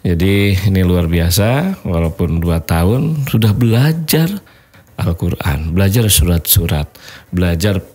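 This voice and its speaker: A man hosts a relaxed podcast interview in a very deep voice. He speaks in a friendly way, often trails off into fillers while hunting for words, and laughs easily. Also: measured pace, slightly rough. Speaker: podcast host, doctor.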